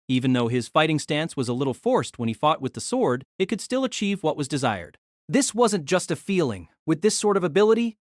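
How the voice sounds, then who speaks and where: A man's voice: high-pitched, no room sound, clean studio sound. A man reading scripted narration for a fantasy story. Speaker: narrator of a fantasy audio drama.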